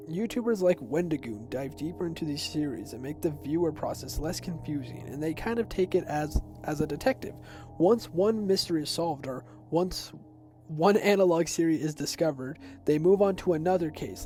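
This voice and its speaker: Music plays under a young man's voice, which is on the high side but soft and dark in tone. He narrates a video essay informally, mixing explanation with personal opinion. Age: teens to young adult. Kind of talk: semi-scripted explainer narration. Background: background music.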